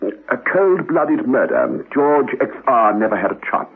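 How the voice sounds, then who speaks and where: An actor playing a fussy, imperious cybernetic surgeon in a spy radio drama, grave and melodramatic in manner. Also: male voice, medium pitch, sing-song, measured pace, narrow, tinny audio. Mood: distressed, stern. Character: fussy, anguished male cybernetic surgeon, devoted to his computer patient. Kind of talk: 1960s-style spy radio drama acting. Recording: thin, noisy old radio recording.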